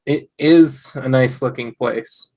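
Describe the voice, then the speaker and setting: A man's voice: medium pitch, slow pace, a little mellow. A man playing a skeptical, reluctant young husband in a table read.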